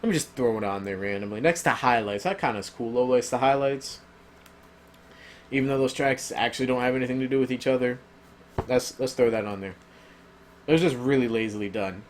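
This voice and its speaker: A man talks through an opinionated review in a loose, rambling way, stopping often for ums and uhs. His voice is wearing thin and going hoarse, pitched at an ordinary middle level. A faint hiss of noise sits under the recording. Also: fairly quick. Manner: rambling, filler-heavy, opinionated. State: losing his voice. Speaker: album reviewer. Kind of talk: casual spoken review.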